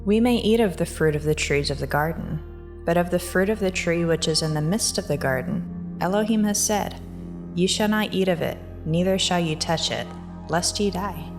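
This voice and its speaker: A woman voicing the first woman in a dramatized scripture audio drama, clear and sincere. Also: lowish voice, moderate pace, close to an everyday voice. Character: first woman, young adult, earnest. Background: background music.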